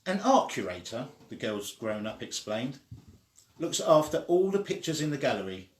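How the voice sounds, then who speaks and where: Slow-reading male storyteller in an echoey room. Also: medium pitch. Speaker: storyteller reading a children's book. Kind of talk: slow read-aloud storytelling.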